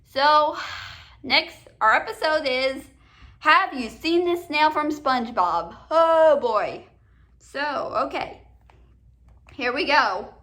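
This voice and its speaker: A woman with a notably high voice hosts a casual commentary video. She moves between chatty remarks and read-aloud summaries. Her delivery is halting, with frequent stumbles and repeated words. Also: lively intonation, sharp tone. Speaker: host of a cartoon countdown video.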